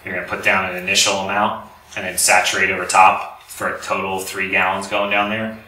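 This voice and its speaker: A man's voice: deep voice, monotone, a little fast, sharp tone. A man giving an informal, explanatory technical presentation to an audience, recorded from a distance in a room. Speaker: lecturer, company representative. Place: indoor lecture room with audience. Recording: roomy, distant mic, faint background noise.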